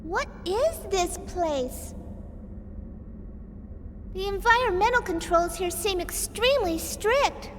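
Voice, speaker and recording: A woman's voice: very high voice, animated, takes it slowly, long pauses, background noise, exaggerated voice. A woman voicing an inquisitive young girl in a sci-fi video game. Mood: curious.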